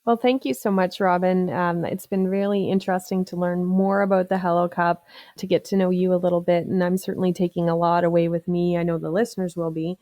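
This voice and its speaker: A woman's voice: fairly low pitch, level tone, fairly quick, mellow, no room sound. A woman hosting a podcast interview who encourages her guest and sums up the guest's points. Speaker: podcast host.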